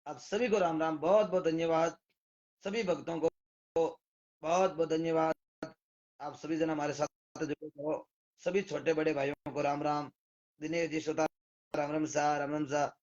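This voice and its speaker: Hoarse Rajasthani man, fairly high voice, live-streaming on a muffled phone mic, reeling off viewers' names with thanks. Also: fairly even intonation, moderate pace, pauses often, a little mellow. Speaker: devotional singer hosting a live stream. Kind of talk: casual live-stream greetings.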